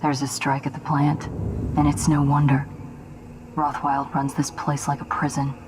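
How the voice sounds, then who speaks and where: Woman doing a cocky young assassin, flat even voice, brisk, background noise. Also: deep voice, natural voice. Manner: brisk, cocky, matter-of-fact.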